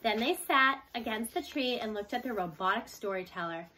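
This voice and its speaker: A woman with a fairly high voice reads a children's book aloud. She narrates the scripted story as a storybook reader would for young listeners. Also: animated, normal speed, sharp tone, slight background noise, poor audio.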